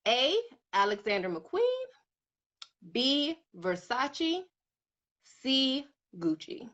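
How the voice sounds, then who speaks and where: A woman's voice: high-pitched, very animated, very slow, a bit bright, lo-fi sound. A woman hosting a live interview, bubbly and playful, calling her guest honey and hyping up each answer. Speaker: host. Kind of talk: casual live interview chat. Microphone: phone on a video call.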